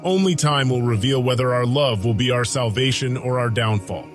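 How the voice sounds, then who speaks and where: A man acts out an ancient alien god, brooding and lovestruck, in an audiodrama. His medium-pitched male voice is solemn and formal, with measured phrases and weighty pauses. Music plays behind him as he narrates in the first person. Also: natural voice. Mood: grave, troubled.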